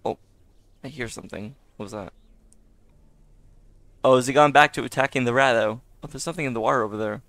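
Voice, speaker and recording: A man with a raspy voice, hoarse from being sick, giving casual gameplay commentary, chatty, laughing often, with sudden excited outbursts. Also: medium pitch, fairly quick, long pauses, no echo. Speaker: gaming YouTuber.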